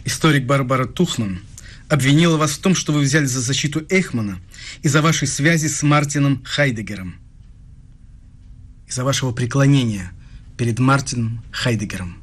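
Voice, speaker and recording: An actor plays a young male researcher with a husky voice of middling pitch. He is polite but guarded, hesitating now and then as he conducts an interview in a radio play. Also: normal speed, close to an everyday voice. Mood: accusing. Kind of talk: dramatic radio dialogue.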